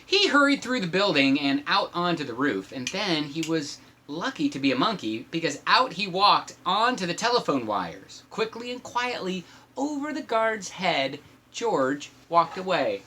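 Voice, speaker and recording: A man with a husky voice reads a picture book aloud in an animated, playful way, with teasing asides as a father would to his child. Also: sits high, a little slow, slight background noise. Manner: acts out shouts and sound effects, teasing.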